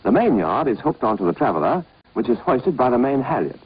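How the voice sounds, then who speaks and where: A man's voice: mid-range voice, very animated, a little fast, husky. A British man narrating an instructional training film in a clipped, scripted, instructive manner. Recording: dull, band-limited old film soundtrack.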